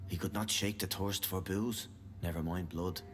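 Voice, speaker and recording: An actor playing a pious, quietly unsettling asylum keeper, speaking in a calm, preacherly way in dramatic video game dialogue. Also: male voice, deep voice, normal speed, close to an everyday voice. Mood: serene, devout.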